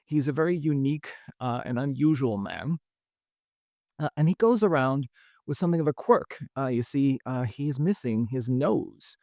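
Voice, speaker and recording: A man hosting a podcast, telling a history story in a relaxed, conversational way, with frequent fillers. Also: mid-range voice, dry recording, clean studio sound. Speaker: podcast host. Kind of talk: casual storytelling narration.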